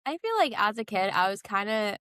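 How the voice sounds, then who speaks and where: Young woman in relaxed, informal podcast chat. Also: medium pitch, fairly quick, clear, resonant tone, dry recording, studio quality. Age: young adult. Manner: informal, slangy, conversational. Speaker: podcast co-host. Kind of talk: casual pop culture chat.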